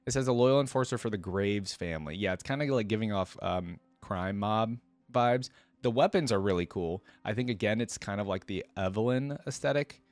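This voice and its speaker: Male reaction host, lowish voice, slangy off-the-cuff enthusiasm, dry close-miked sound. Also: normal speed, no echo, faint music behind. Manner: informal, slangy, rambling, enthusiastic. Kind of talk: casual unscripted reaction commentary.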